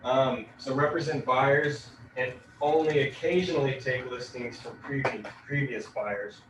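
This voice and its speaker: A man giving a relaxed real estate lecture, leaning on fillers as he explains. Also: medium pitch, unhurried. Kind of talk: casual classroom lecture. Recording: echoey room, distant mic, noisy.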